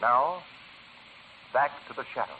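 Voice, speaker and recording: Animated old-time radio announcer, tinny sound. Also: male voice, medium pitch, measured pace. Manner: polished salesman delivery. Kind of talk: 1940s scripted radio advertisement. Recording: tinny 1940s radio recording, faint noise.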